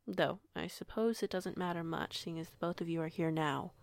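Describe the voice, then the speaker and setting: A woman playing a courteous, gently authoritative werewolf pack leader in an audio roleplay, with formal, measured wording. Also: fairly low pitch, creaky, no room sound, studio quality. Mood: wry, composed.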